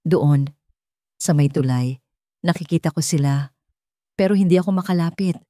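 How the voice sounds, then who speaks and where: A desperate father in a narrated drama, played by a woman storyteller, pleading and shaken. The voice is bright and very dry. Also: sits low, moderate pace, no echo, lightly acted. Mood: fearful.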